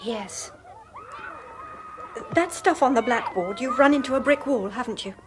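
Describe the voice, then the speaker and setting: An actress playing an anxious, troubled young mother in a British radio drama, speaking with an English accent in a tense, halting, confiding way. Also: fairly high pitch, natural voice. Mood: troubled, uneasy. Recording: faint noise on radio broadcast.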